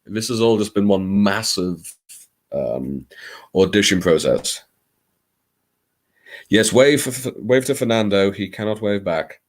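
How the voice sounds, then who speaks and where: A man with a fairly low voice hosts a casual live stream. He talks to viewers in a theatrical, playful way, dropping jokey asides and the odd swear word. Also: lively intonation, slow pace, long pauses. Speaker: livestream host. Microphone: streaming microphone, not his usual video mic.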